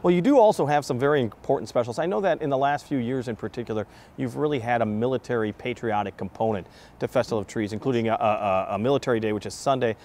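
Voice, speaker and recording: A man's voice: medium pitch, fast, darkish tone. A middle-aged man with an American accent hosting a broadcast interview, putting questions to a guest. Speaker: TV host and interviewer. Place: large exhibition hall, indoors.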